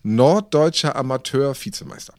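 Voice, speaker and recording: A man's voice: mid-range voice, moderate pace, a little mellow, no room sound, studio quality. A middle-aged man, telling anecdotes in casual, wryly joking podcast talk. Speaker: podcast co-host. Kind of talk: casual chat.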